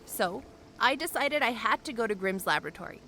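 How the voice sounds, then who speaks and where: A woman's voice: on the high side, animated, a little fast, pushed and bright, faint music behind. A woman playing an earnest, wistful young airship pilot in a fantasy audio drama. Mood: fond, earnest.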